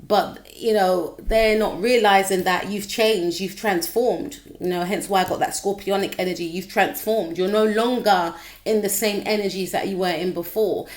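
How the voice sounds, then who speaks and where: A woman with a slightly croaky voice giving a chatty tarot reading straight to her viewers, often checking in with them. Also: mid-range voice, fairly even intonation, normal speed, few pauses. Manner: chatty, repetitive, addresses viewer directly. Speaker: tarot reader. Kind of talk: casual tarot reading to camera.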